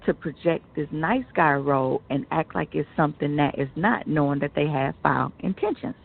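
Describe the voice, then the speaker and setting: An American woman with a creaky voice chatting bluntly and slangily as a radio co-host, giving her opinions. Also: deep voice, moderate pace. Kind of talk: casual opinionated chat. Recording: narrow tinny phone-like line.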